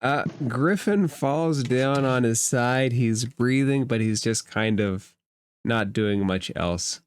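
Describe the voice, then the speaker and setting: A man with a medium-pitched voice works as game master for a tabletop session. He narrates casually and explains things conversationally. The sound is very dry, with hardly any room in it. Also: slow, clean tone, no room sound.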